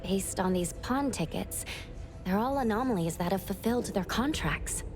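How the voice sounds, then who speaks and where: A woman playing a calm, analytical young investigator in an anime game, measured and matter-of-fact as she reasons aloud. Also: medium pitch, music in the background, close to an everyday voice. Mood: thoughtful.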